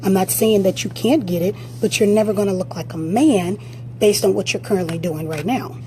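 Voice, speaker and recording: A woman fitness coach with a raspy voice, giving blunt, direct motivational advice during a live-stream Q&A on a phone. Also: medium pitch, a little fast, mellow, slight background noise, poor audio.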